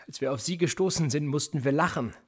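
Sardonic, needling policeman with a husky edge. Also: on the high side, quick pace. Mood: bitter, weary. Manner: sarcastic, probing, provocative. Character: sardonic, needling male police interrogator, quietly menacing. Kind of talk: German radio play acting.

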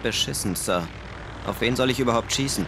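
An actor playing a dutiful police sniper, reporting in clipped military phrases in a German radio drama. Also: male voice, mid-range voice, a little fast, lightly acted. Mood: tense, focused. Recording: very loud car and street noise behind.

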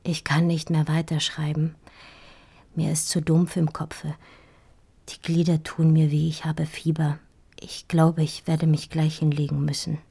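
An actress playing a heartbroken, dying woman in an intimate radio drama monologue, speaking in halting, feverish, anguished whispers with repeated words. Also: low-pitched, completely flat delivery, creaky, mellow, slightly rough, heavily acted. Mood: weak, tender farewell. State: feverish, weak, grieving. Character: heartbroken dying woman writing a farewell love letter.

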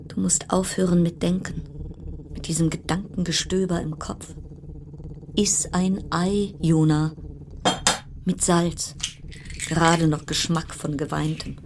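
Brooding radio play narrator woman, slow n deliberate, kinda low voice, chanty repetitive phrasing, some noise behind. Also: flat delivery. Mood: melancholic, incantatory. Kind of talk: literary radio play monologue.

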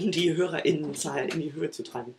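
A woman's voice: low voice, brisk, a loud crowd. Dry-humored woman podcast co-host. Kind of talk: casual chat.

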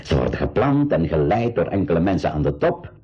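An actor playing an idealistic older uncle and professor in a 1980s educational radio play, with a Flemish accent, a husky voice and a deliberate, explanatory manner. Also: male voice, sits low, big pitch swings, dark tone, full character voice. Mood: matter-of-fact. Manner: deliberate, explanatory, with hesitant repetitions. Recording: dull 1980s radio recording.

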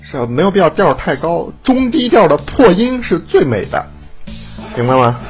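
A man's voice: on the high side, big pitch swings, moderate pace. A man chatting casually as a voice director, teasing and often repeating himself. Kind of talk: casual bantering chat. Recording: clear music behind the voice. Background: background music.

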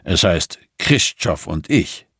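A man voicing a sullen, mocking tough man with a growling, deadpan manner in an audiobook. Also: low voice, takes it slowly, breathy edge, no room sound, clean studio sound, exaggerated voice. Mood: pedantic.

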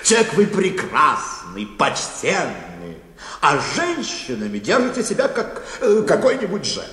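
A man's voice: on the high side, wildly swooping pitch, a little slow, sharp tone, heavily acted. An actor playing a vain, self-pleased bank chairman in a radio play, pompous and fussily familiar in a theatrical way. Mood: chiding, amused. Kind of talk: theatrical Russian radio drama acting. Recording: echoey hall with long reverb.

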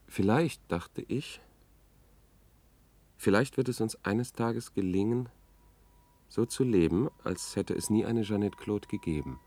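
Low, slow, sombre man, humming recording. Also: a little mellow, a little hiss or hum. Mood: resigned, pensive. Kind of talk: 1970s radio drama inner monologue.

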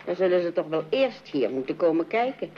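A woman's voice: on the low side, very animated, a little fast, slight background noise. An actress playing a sensible, sceptical middle-aged mother in an old-fashioned radio comedy, dry and practical. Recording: very thin, phone-like old radio sound.

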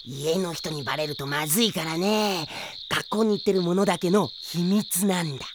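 A man's voice: high voice, moderate pace, noisy background, close to an everyday voice. An actor playing a sly middle-aged man, wheedling and falsely friendly, in an audio drama. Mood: hushed, secretive. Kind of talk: Japanese audio drama acting.

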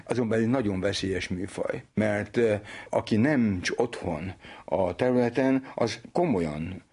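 Low, husky male expert guest. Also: darkish tone. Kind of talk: spontaneous explanatory talk.

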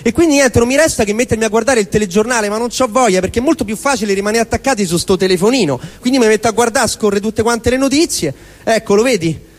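Man, Roman accent, high voice, theatrical comic monologue, rambling and exasperated, long live-venue reverb. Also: quick pace. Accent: Roman Italian. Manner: rambling, exasperated, talking to himself. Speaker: stage performer.

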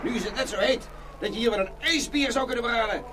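A man's voice: extremely high pitch, fast, distant mic. A man playing the boisterous, boastful North Wind, an unruly son, in a children's fairy-tale radio play. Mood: blustering, boastful. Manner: loud, blustery, boastful.

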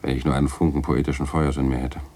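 An actor playing a jovial, teasing literary critic in a German radio play, husky and theatrical, speaking in florid, ironic phrases. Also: male voice, rumbling low, flat delivery, fast, darkish tone. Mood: musing, ironic.

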